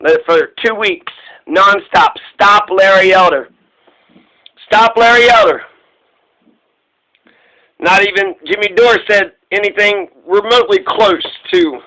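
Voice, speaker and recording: A man with a high-pitched, sharp-edged voice hosts a political talk show. He is emphatic and likes to repeat himself, whether commenting or reading questions and answers aloud. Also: low-quality recording. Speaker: host.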